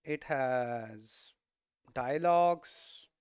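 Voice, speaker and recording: A man giving an informal explanatory lesson as a presenter, posing questions and answering them himself in halting, emphatic phrases. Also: medium pitch, darkish tone, smooth, no echo, clean studio sound. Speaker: presenter of a game development explainer series.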